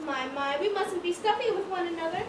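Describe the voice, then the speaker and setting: A woman's voice: extremely high pitch, brisk. A woman playing a nosy, arch society gossip with sugary politeness in an amateur stage comedy. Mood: breezy, arch. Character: nosy, gleefully coy society lady gossip. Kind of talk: amateur one-act stage comedy acting. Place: stage in a large echoey room. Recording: echoey stage, noisy, far-off mic.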